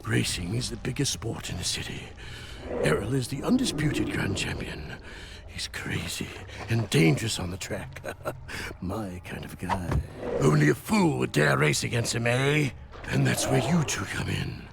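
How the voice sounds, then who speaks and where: An actor playing a sly, oily male crime boss with a breathy wheeze in an animated video game. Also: medium pitch, measured pace, very noisy. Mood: sly persuasion. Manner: oily, insinuating, chuckling menace. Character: sly, menacing crime boss, male, smugly wheeling and dealing.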